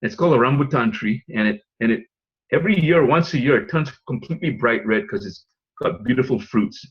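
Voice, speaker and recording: A man from Singapore talks through a business pitch in a slightly husky, mid-pitched voice. He wanders, restarts and chuckles as he goes. The recording is dull and lo-fi. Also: animated, a little fast. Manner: rambling with fillers and restarts, chuckling asides. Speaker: presenter. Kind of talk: webinar pitch talk.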